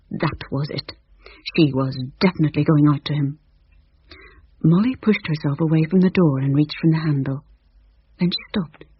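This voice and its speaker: A woman with a very breathy, husky voice reads a suspenseful story in hushed tones. The recording is dry and close, with a slightly dull sound. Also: fairly low pitch, no echo. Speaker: narrator. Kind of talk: storybook narration.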